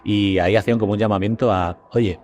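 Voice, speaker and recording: Male host from a casual podcast, Spain Spanish accent, deep register, rapid animated talk. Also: faint music behind.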